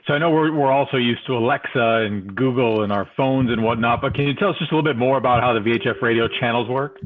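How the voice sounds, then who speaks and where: American man, live show host, fast pace, thin band-limited call audio, interviewer delivery. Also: mid-range voice, narrow, tinny audio. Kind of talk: scripted live hosting, interviewing. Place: indoors, video call with virtual background.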